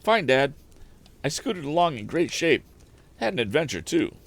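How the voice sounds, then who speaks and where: A man's voice: fairly high pitch, very animated, normal speed, pitched above the performer's own voice. A man voicing an eager, plucky young inventor in an adventure storybook, with a breezy, enthusiastic manner. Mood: cheerful, excited.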